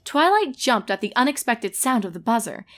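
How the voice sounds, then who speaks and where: A woman's voice: on the high side, sing-song, moderate pace, loud music behind the voice. A woman reading a fan fiction story aloud as narrator, in a scripted storytelling style.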